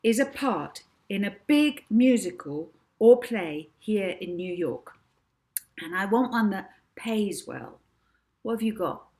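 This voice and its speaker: A woman's voice: medium pitch, animated, slow, a little mellow, pitched above the performer's own voice. Woman voicing a bossy, cocky young girl. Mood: bossy, confident. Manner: demanding, imperious.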